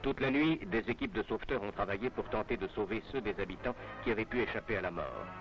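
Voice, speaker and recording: A man's voice: lowish voice, fast, music in the background, poor audio. A man narrating a scripted 1960s French newsreel in a formal announcer's manner, on a vintage film soundtrack. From France.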